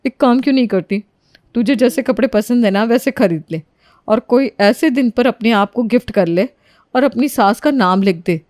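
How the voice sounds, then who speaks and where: A woman's voice: on the high side, moderate pace, darkish tone, dry recording, clean studio sound, lightly acted. A woman voicing a catty, conniving young female friend in a moral story, persuasive and judgmental.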